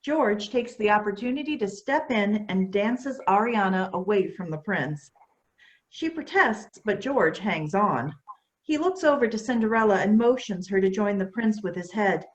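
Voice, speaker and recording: A woman's voice: mid-range voice, lively intonation, normal speed, dull audio. A woman reading scripted stage directions as narrator of a staged play reading.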